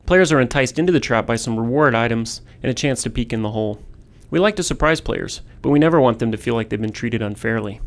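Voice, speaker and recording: A man narrates prepared developer commentary. He has a voice of average pitch and speaks as one explaining game design. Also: quick pace.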